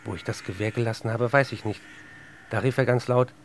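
Actor as a shaken young man, detached confessional narration, medium pitch, radio play, faint noise with crows. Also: steady tone, fairly quick, close to an everyday voice. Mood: stunned, confessional. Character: shaken young man recounting a deadly duel, detached, stunned.

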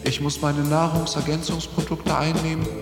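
In an echoing hall over music, an actor plays a submissive husband. His voice is on the high side, and he recites dutifully. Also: monotone, moderate pace, natural voice. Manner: obedient recitation. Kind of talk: radio play acting. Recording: echoey hall reverb, music behind.

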